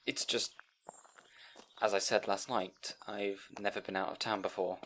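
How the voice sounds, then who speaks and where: A man's voice: fairly low pitch, steady tone, measured pace, bright, forceful tone, a little hiss or hum. A man playing a timid, bookish young scribe in an audio roleplay, speaking to the listener in an anxious, hesitant, stammering way. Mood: anxious, earnest.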